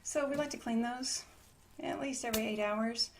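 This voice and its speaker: Higher-voiced woman, ASMR nurse, hissy recording. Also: normal speed. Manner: casual, reassuring bedside explaining. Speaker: ASMR creator playing a trauma nurse. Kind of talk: ASMR medical roleplay, personal attention.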